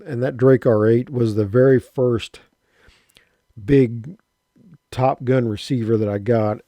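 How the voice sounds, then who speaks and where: A man in his late fifties telling a rambling, halting personal story about his radio hobby, often restarting his sentences. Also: mid-range voice, mellow, dry recording. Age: 50s. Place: rural home.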